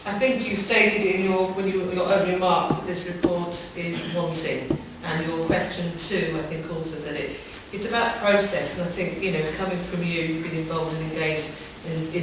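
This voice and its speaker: Heard across a highly reverberant room with clear background noise, a woman speaks as a councillor. She asks formal questions without a script, in long, rambling phrasing. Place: large town hall meeting room. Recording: very echoey hall, distant mic, clear background noise.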